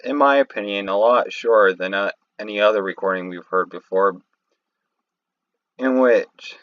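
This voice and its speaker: A man giving casual, unscripted commentary as a video host, halting and rambling as he goes. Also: mid-range voice, a bit bright, dry recording. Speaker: video host reacting to game lore. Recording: slightly muffled, dry home microphone.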